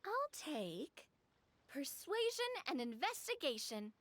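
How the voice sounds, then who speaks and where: A woman playing a refined, cheerful young lady who loves games, with an expressive anime video game delivery. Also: high-pitched, takes it slowly, heavily acted. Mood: musing.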